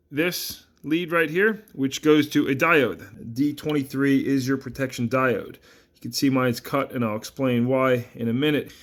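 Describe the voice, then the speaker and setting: A man's voice: medium pitch, fairly bright tone. A man giving a casual, plainspoken how-to explanation as the host of a hands-on repair tutorial. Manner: plainspoken, informal.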